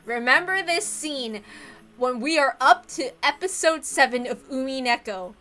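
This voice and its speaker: A woman's voice: high voice, lively intonation, measured pace, sharp tone. A woman reading a visual novel aloud expressively, with asides to her audience.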